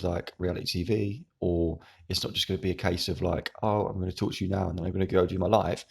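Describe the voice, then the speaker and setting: A young man with a croaky, hoarse voice, rambling through a casual monologue to camera at home. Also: low voice. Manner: rambling, meandering. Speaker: YouTuber.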